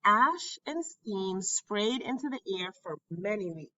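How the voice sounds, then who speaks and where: Bright-voiced woman reading slowly aloud. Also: mid-range voice, lively intonation, dry recording, dull audio. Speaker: teacher reading a textbook aloud. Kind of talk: educational read-aloud narration.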